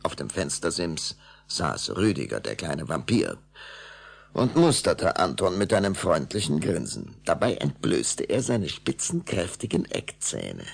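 A man narrating a children's radio play as a measured, dramatic storyteller. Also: low voice, wildly swooping pitch, creaky, husky, full character voice.

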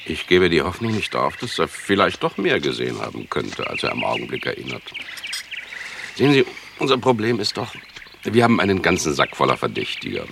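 An actor playing a courteous, slyly ironic chief inspector in a radio crime play, speaking in a measured, insinuating, gravelly way. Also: male voice, deep voice, wildly swooping pitch, background noise, exaggerated voice. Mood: earnest, explaining.

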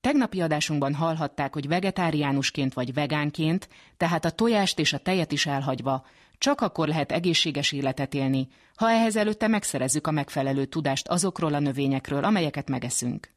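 Woman radio presenter with a low voice. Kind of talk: broadcast interviewing and scripted narration.

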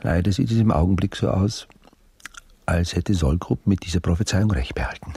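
An actor playing a brooding army officer and baron in a radio play, speaking in a measured, weary, often hushed manner. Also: male voice, sits low, gravelly, slightly rough, dry recording, exaggerated voice. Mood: weary, ironic. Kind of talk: radio play narration and dialogue.

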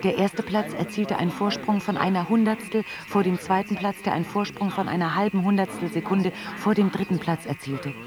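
A man's voice: high-pitched, steady tone, a little fast, hardly any pauses, close to an everyday voice. A man playing a clipped narrator listing images in a radio drama, with a crowd behind. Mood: urgent. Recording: radio play over crowd bustle.

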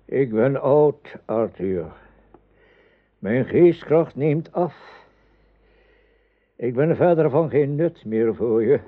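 An actor playing a weary, three-hundred-year-old wizard and mentor in a radio play, solemn and wistful. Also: male voice, mid-range voice, expressive, slow, pauses often. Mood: weary, tender. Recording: narrow phone-like radio play sound.

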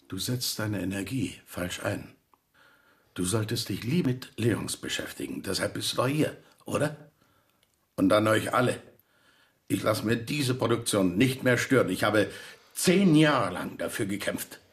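A man's voice: on the low side, expressive, unhurried. An actor playing a stubborn theatre director who argues insistently and defends his compromises, in a radio drama. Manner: insistent, defensive, swears when heated. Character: stubborn middle-aged male theatre director.

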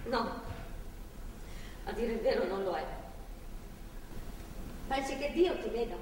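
Distant, very reverberant stage drama: bitter young woman held captive, high voice, sarcastic, agitated. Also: expressive, darkish tone. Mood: cold defiance. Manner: foul-mouthed, agitated, repetitive and halting. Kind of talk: theatrical stage drama acting. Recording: echoey, distant theatre recording, faint noise.

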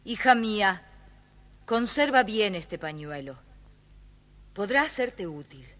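A woman's voice: mid-range voice, very animated, slow pace, a bit bright. A woman playing a solemn, loving queen mother, speaking formally and tenderly in a children's audio storybook. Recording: long hall reverb.

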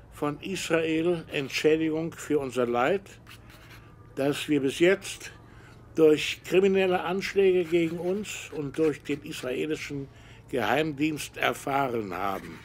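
A curt professor dictating. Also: male voice, fairly high pitch, takes it slowly. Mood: brusque. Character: German rocket engineer professor, brusque boss.

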